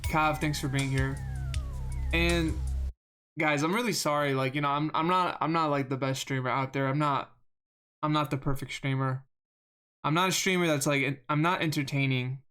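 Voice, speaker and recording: A game streamer with a monotone voice, rambling through live gameplay commentary, self-deprecating and often repeating words. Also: medium pitch, normal speed, clean tone, soft background music.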